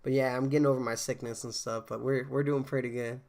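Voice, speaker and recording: A man's voice: medium pitch, fast, vocal fry. Laid-back rambling male streamer. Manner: relaxed, rambling, lots of fillers and restarts. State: congested from a cold. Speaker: game streamer. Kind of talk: casual live-stream chat.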